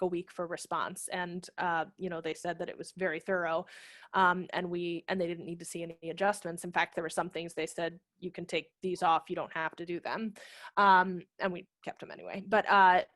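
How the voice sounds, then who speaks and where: A woman's voice: fairly low pitch, fairly bright tone, no echo. A woman in her thirties explaining informally as a guest speaker on a video call, through a headset microphone. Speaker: guest speaker, theatre managing director. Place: room at home.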